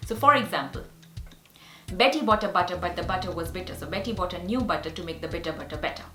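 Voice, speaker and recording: A woman's voice: mid-range voice, fairly bright tone. A woman whose first language is Hindi, speaking English with a Hindi accent as she teaches speech exercises in an upbeat, earnest way. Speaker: actor and speech coach. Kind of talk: casual teaching vlog. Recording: echoey room with faint music.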